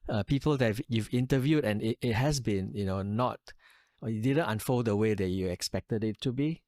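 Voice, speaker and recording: A man's voice: on the low side, normal speed, no room sound, clean studio sound. A man hosting a podcast interview, asking questions hesitantly with many fillers. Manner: soft backchannel murmurs, hesitant questions. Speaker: podcast host.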